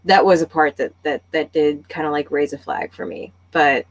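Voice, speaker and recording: A woman's voice: deep voice, normal speed. A woman hosting a casual book club discussion, talking conversationally and thinking aloud as she goes.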